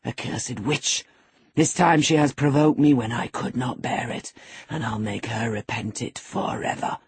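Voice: mid-range voice, fairly even intonation, moderate pace, husky, dry recording. A narrator voicing a savage, brooding middle-aged master of a house, harsh, imperious and threatening, in an audiobook. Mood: savage fury.

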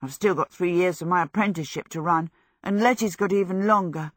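A woman voicing a jubilant, excitable teenage apprentice boy in love, gushing, in a storybook. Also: lowish voice, fairly quick, no echo, clean studio sound, close to an everyday voice. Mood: proud, happy. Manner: exuberant, gushing. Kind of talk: storybook character voice.

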